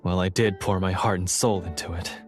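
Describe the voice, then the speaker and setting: A man's voice: deep voice, fairly even intonation, fairly quick, lightly acted. A male actor voicing an earnest, guilt-ridden young swordsman in a video game, with a hesitant, dramatic delivery. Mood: regretful, confessing. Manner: hesitant, dramatic, self-doubting. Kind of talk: English game voice acting. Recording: music playing behind the voice.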